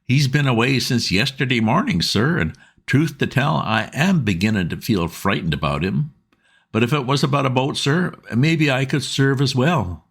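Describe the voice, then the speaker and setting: A man's voice: mid-range voice, expressive, moderate pace, gravelly, fairly bright tone, husky, voice pushed up, heavily acted. Man doing a fretful chatty boatman's wife. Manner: homely dialect, rambling. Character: stout red-faced working-class boatman's wife, anxious, chatty.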